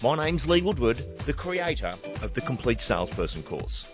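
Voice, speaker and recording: A man hosts a sales podcast with an enthusiastic, polished presenter's delivery. His pitch sits in the middle range. The sound is dull and lo-fi, with faint music in the background. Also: sing-song, moderate pace. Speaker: podcast host and sales trainer. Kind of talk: podcast hosting and interviewing.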